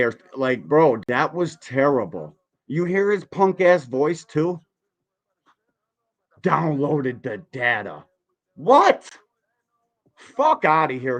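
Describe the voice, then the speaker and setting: A man in his forties hosting a reaction livestream, giving blunt, profane, emphatic commentary full of rhetorical questions. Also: fairly high pitch. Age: 40s. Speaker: podcast host reacting to a video.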